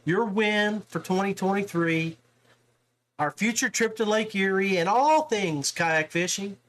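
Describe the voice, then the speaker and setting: A man's voice: high-pitched, expressive, unhurried, fairly bright tone. A man hosting a casual sports podcast interview, talking in a chummy, familiar way with guest and listeners.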